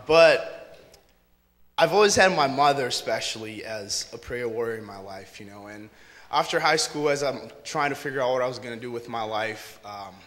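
A young man in his twenties from Brazil, telling his faith story as a guest in an earnest, relaxed way. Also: mid-range voice. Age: 20s. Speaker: interview guest. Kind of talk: casual testimony on stage. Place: church stage before an audience. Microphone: handheld mic. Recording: church hall reverb.

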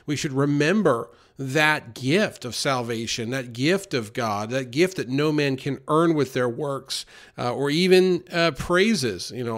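A man preaching an informal sermon in an earnest way, with many fillers and restarts. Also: mid-range voice.

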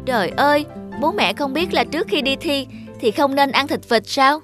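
A woman's voice: high-pitched, expressive, moderate pace, over music. A woman playing a fussy, stubborn student daughter in a cartoon, whiny and dramatic. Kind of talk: Vietnamese cartoon dialogue.

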